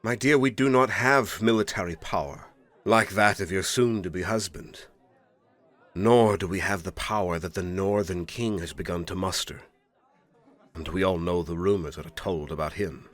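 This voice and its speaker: A man's voice: sits low, moderate pace, fairly bright tone, some chatter behind. An actor playing a stern, imperious older king in an audio roleplay drama, speaking formally and with weighty dramatic emphasis. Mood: solemn, persuading.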